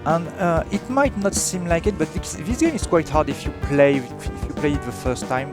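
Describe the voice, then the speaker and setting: A man's voice: fairly high pitch, normal speed. A young man from France with a French accent, hesitantly commentating his live speedrun of a game, with many fillers and restarts. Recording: game music playing behind.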